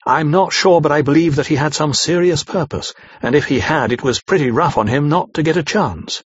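A blunt, earnest man , as a narrator puts him on, speaks plainly and directly. The husky voice is lifted somewhat above the reader's own. The sound is dry and dull. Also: fairly high pitch, brisk, no echo, pitched above the performer's own voice. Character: blunt frank man speaking to a doctor friend. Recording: dry muffled lo-fi.

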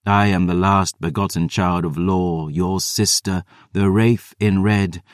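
A man's voice: low voice, steady tone, unhurried, dry recording, clean studio sound. A man voicing a young vampire woman who is cold, defiant and bent on vengeance.

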